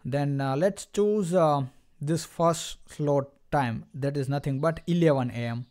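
A man instructing in an unscripted screen-recorded software demo, explaining step by step in a halting way and addressing viewers directly. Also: mid-range voice.

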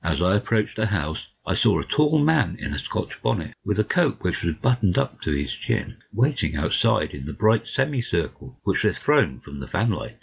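A man with a very low, rough-edged voice narrates a classic story. His reading is expressive and varied in pitch, on a slightly dull recording. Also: moderate pace, hardly any pauses. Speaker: audiobook narrator. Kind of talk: literary first-person narration in a dramatic reading.

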